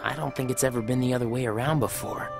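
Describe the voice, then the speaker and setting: A man's voice: mid-range voice, steady tone, fast, gravelly, loud music behind the voice, natural voice. A man voicing a sincere, moody teenage boy in a video game cutscene, with an earnest, brooding delivery. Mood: pensive, awkward.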